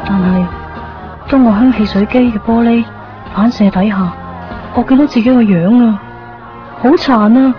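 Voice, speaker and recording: A lovesick young woman confides her private thoughts , voiced by an actress in this dull, lo-fi radio play. her voice sits a little high, and clear music plays under it. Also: lightly acted. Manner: intimate, wistful inner monologue. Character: young woman secretly watching the man she loves. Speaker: lead character and narrator. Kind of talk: 1990s radio drama acting.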